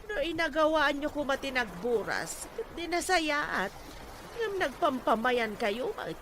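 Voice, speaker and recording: A woman's voice: high voice, sing-song, measured pace, fairly bright tone, a little hiss or hum, full character voice. A woman playing a reproachful farm mother in an Ilocano radio drama. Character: reproachful farm mother defending her hardworking son.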